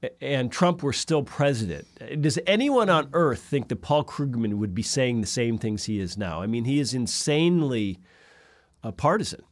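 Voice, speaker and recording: A middle-aged man hosting a discussion over a video call from an indoor office. He speaks in a measured way and asks long, carefully framed questions. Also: mid-range voice, animated, no echo. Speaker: host. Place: indoor office in front of a backdrop.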